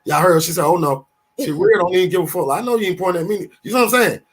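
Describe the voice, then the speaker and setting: A man in his fifties talking casually on a podcast, animated and swearing, full of restarts as he tells stories. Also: sits high, very fast. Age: 50s.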